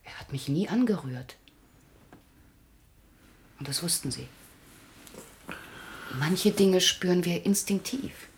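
Young female radio-drama role: deep for a woman, terse, restrained, loyal and proud. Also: animated, takes it slowly, long pauses. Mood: subdued, guarded. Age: young adult. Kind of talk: German radio crime drama acting.

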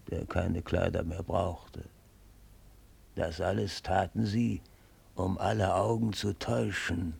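Sly one-eyed retainer, radio play. Very gravelly, slow, solemn and archaic in phrasing. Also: male voice, sits low, darkish tone, exaggerated voice. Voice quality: gravelly, husky. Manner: measured, ceremonious, archaic storytelling. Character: sly one-eyed male servant reporting to his raja. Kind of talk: solemn German radio drama acting.

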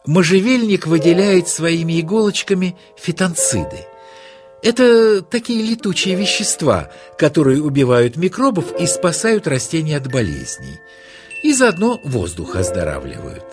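A man's voice: a little high, sing-song, normal speed. A man narrating a nature story for children in a tender, dramatic storytelling style. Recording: music under the narration. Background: music.

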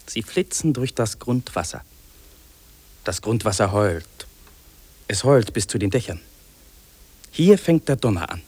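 An actor playing a lonely, fearful city man in a 1950s German radio drama, speaking in solemn, deliberate, incantatory phrases. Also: lowish voice, very animated, darkish tone, husky, dry recording, full character voice. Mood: melancholy, brooding. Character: lonely, fearful, brooding city man listing what he hears. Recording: old radio play on cassette tape.

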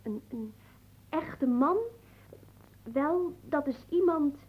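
A naive young maid in a comic radio play, with a high, soft-toned voice. She speaks slowly and haltingly, innocent and deferential. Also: expressive, exaggerated voice. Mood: shy, puzzled. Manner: halting, innocent, searching for words.

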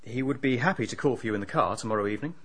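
A man's voice: medium pitch, racing, a bit bright, close to an everyday voice. An actor playing a polite, efficient male private secretary in a radio drama, formal and obliging in manner. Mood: polite, businesslike. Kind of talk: BBC radio drama acting.

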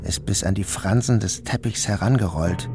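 A man narrating a literary audio drama in the first person, calm, measured and introspective. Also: on the low side. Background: music.

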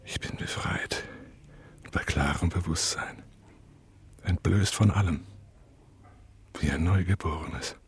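Radio drama casting: a weary, detached prisoner after torture; extremely low, gravel-edged voice, fragmented delivery. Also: male voice, heavily acted. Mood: bitterly detached. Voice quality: husky, breathy, gravelly. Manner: halting, fragmented, brooding inner monologue. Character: tortured male prisoner in a cell, numb, detached, bitterly weary. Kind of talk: literary radio play monologue.

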